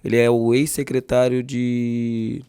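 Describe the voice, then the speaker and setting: A man in his forties from Rio de Janeiro with a softened carioca accent, a jiu-jitsu master telling his story as a podcast guest. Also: medium pitch, completely flat delivery, clean tone, no room sound, studio quality. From Rio de Janeiro, Brazil. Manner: quick, warm storytelling. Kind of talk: interview storytelling.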